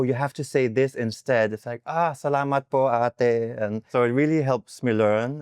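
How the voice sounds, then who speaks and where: A man's voice: mid-range voice, smooth, no room sound, studio quality. A young man from Denmark, a podcast guest chatting casually and slipping Tagalog phrases into his English.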